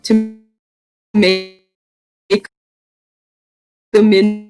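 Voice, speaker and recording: Woman acting as eccentric female big-cat keeper, cheerful, folksy, halting delivery, slow pace, sketch-comedy crafting video, music behind. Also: mid-range voice, little pitch movement. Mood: sweetly folksy. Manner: halting, folksy, sweetly addressing viewers.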